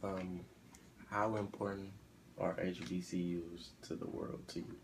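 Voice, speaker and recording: A man's voice: sits low, flat delivery, unhurried, gravelly, noisy background. A man acting as a student interviewer, asking short plain questions for an oral history project.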